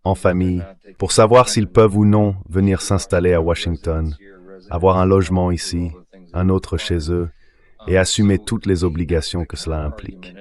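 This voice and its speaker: Deep synthetic man, measured politician. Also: fairly even intonation. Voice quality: synthetic AI dubbing voice. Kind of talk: explanatory political remarks.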